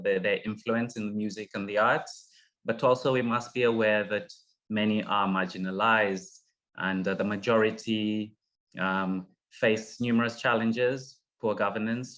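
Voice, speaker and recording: Slow, halting middle-aged male moderator. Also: fairly low pitch, a bit bright. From Uganda. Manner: hesitant, many fillers and restarts. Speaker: session moderator. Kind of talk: online panel moderation. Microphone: video call.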